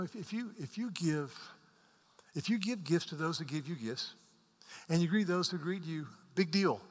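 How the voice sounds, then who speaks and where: An earnest male preacher delivering a sermon, often stumbling into repeated words. His voice climbs to forceful emphasis, then falls to near-whispered asides. It rings out with the long reverb of a large sanctuary. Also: a little high, slightly rough. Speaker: pastor.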